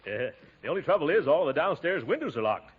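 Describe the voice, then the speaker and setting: An actor playing a pompous, blustering middle-aged uncle with exclamatory delivery and nervous chuckles, in 1940s radio comedy style. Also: male voice, a little high, very animated, quick pace, husky. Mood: exasperated. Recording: dull, noisy 1940s radio recording.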